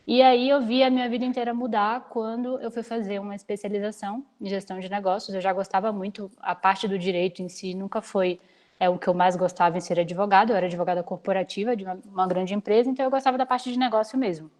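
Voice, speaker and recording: A young woman from Salvador speaks over a video call, sharing slides with students. Her pitch sits in the ordinary middle range. She talks briskly and practically, with an energetic pull. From Salvador, Bahia, Brazil. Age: 20s. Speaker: startup founder giving a guest talk. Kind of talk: online lecture with slides.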